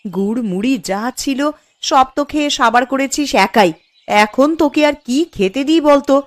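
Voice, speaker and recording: A woman's voice: sits high, moderate pace, dry recording, natural voice. A woman playing a scolding, principled village mother in a cartoon story, with a nagging, homely manner. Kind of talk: Bengali cartoon story acting. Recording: music behind the voice. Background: background music.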